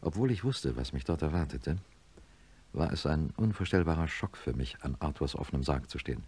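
A man playing a sober middle-aged neurosurgeon, narrating a radio drama in a measured, matter-of-fact way. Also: low-pitched, a little mellow, husky, exaggerated voice. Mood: shaken. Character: sober male neurosurgeon professor recounting his experiment.

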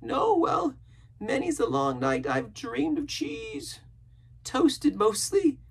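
A man's voice: extremely high pitch, wildly swooping pitch, takes it slowly, vocal fry, husky, pitched above the performer's own voice, exaggerated voice. A man voicing a half-crazed, sly and pious marooned sailor in a storybook, with a strained, cracking voice and rustic pirate grammar. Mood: wistful.

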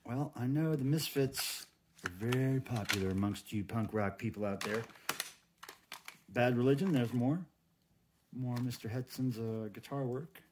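A man's voice: medium pitch, a little slow. A middle-aged American man giving casual, folksy unboxing commentary as a host, thinking aloud as he goes. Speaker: host of a cassette unboxing video. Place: indoors at a tabletop. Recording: clear crinkling and handling noise. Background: plastic wrap crinkling, cases clicking.

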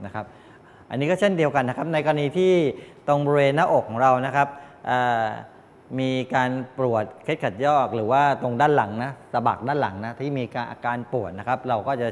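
An older man giving a classroom lecture as a teacher, explaining in a halting way with frequent polite particles. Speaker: technical college lecturer. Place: medium-sized indoor classroom with students. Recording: reverberant room, clear background noise, low rumble.

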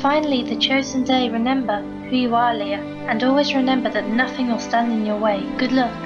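A proud lioness mother in a cartoon fan dub played by a woman. She sounds confident and fairly high, on a dull, lo-fi recording with music behind her. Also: fairly even intonation, brisk, natural voice. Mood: assured. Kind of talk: animated fan dub acting. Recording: dull lo-fi microphone.

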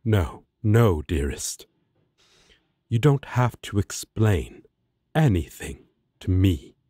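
Male actor as solemn angel warrior, very slow delivery, low voice, grandiose, protective, fantasy audio roleplay. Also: very animated, mellow, breathy edge, no echo, clean studio sound, exaggerated voice. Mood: solemn, reassuring. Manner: formal, archaic, grandiose phrasing.

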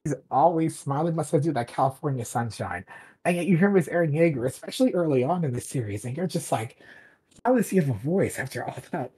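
A person with a husky voice chatting casually and emphatically as a drama director guesting on a podcast, gushing and rambling along. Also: mid-range voice, animated, fast talker, warm tone. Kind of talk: casual enthusiastic chat.

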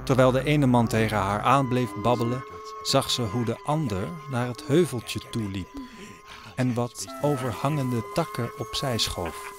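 A man narrating a scripted audio drama as a storyteller. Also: mid-range voice. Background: music under the voice.

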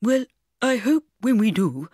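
A woman's voice: a little high, expressive, a little slow, dark tone, slightly rough, no room sound, studio quality, voice pushed up. A woman voicing a leering, over-familiar middle-aged uncle, drawling and patronising, in a story. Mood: leering, teasing.

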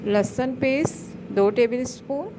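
A woman hosting a cooking channel, calmly talking through a recipe step by step in a voice-over. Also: high voice, unhurried, background noise. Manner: calm step-by-step instructions.

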